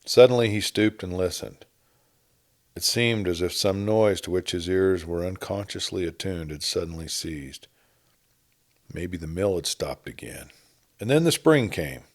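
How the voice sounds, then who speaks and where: A man reading an adventure story as a narrator, in a measured storytelling manner with dramatic emphasis. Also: low-pitched. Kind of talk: scripted dramatic audiobook narration.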